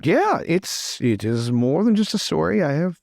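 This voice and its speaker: A man playing a chatty, excitable lord in an improvised roleplay, talking in a rambling, theatrical and emphatic way. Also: a little high, moderate pace, creaky, dry recording, clean studio sound. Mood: self-assured.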